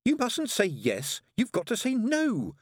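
A man's voice: high voice, huge pitch swings, measured pace, no echo, studio quality, pitched above the performer's own voice, heavily acted. A man voicing a bossy, exasperated young girl in a storybook, speaking insistently and severely. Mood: bossy, eager. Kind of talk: audiobook character voice.

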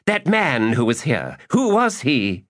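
A male narrator voicing a scheming, lecherous mage who mutters his plots aloud, high and excitable, in an audiobook. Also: measured pace. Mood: gleeful. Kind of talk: audiobook character voice.

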